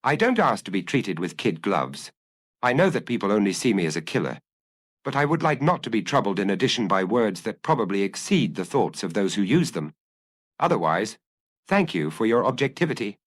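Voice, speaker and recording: Low-voiced, expressive man narrating. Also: no room sound. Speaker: audiobook narrator. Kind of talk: scripted narration of a detective story.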